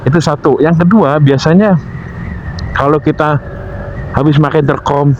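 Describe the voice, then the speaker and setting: A man's voice: on the high side, steady tone, moderate pace, a little mellow, highs cut off, a little hiss or hum. An Indonesian man giving informal, instructive vlog advice, often repeating himself and searching aloud for words. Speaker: motovlogger giving gear care tips. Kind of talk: informal explanatory vlog talk.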